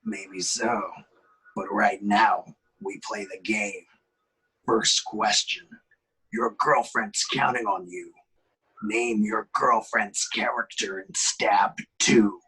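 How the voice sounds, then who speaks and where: A taunting killer on the phone from a horror film script, read by a man. The voice is husky and breathy, drawing out threats slowly and dropping into a cold, menacing whisper. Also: on the low side, fairly even intonation, bright, forceful tone, heavily acted, rough recording. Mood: menacing, controlled. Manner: slow, breathy, teasing delivery with whispered threats.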